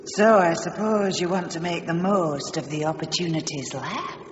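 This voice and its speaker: An actress playing a wry, sharp-tongued witch gathering stars in a radio play. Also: lowish voice, normal speed, slight background noise, lightly acted. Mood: coy. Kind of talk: radio drama acting. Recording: roomy reverberant dull radio recording.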